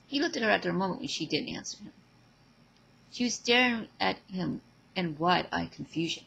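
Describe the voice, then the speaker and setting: A woman's voice: lowish voice, expressive, normal speed, vocal fry, a bit bright, breathy edge, low-quality recording. A woman reading scripted story narration as the narrator.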